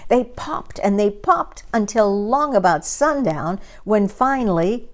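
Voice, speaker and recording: A woman storyteller reading a children's story aloud in an expressive, dramatic and deliberate manner. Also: mid-range voice.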